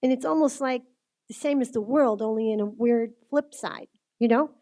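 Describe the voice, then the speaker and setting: Woman, fairly high voice, informal faith speaker; earnest, conversational delivery that puts questions straight to the listener. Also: normal speed, mellow. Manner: earnest, conversational, direct address with rhetorical questions. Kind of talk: informal religious talk to a group.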